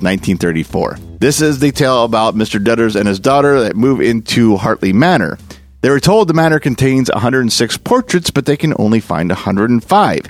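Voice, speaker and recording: A man hosting a show, reading a scripted introduction in a presenter's style. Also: medium pitch. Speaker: podcast host. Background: music.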